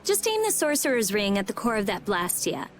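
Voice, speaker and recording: A woman's voice: mid-range voice, very animated, fairly quick, slight background noise, close to an everyday voice. A woman playing a brash teenage girl mage in a video game, blunt and sarcastic, talking like a know-it-all. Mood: matter-of-fact. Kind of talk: English video game voice acting.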